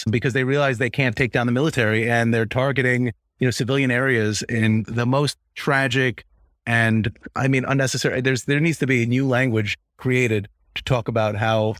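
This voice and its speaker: A man's voice: medium pitch, dry recording, clean studio sound. A man hosting a podcast, asking questions in a rambling way full of "you know" fillers. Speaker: podcast host. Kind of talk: conversational interview.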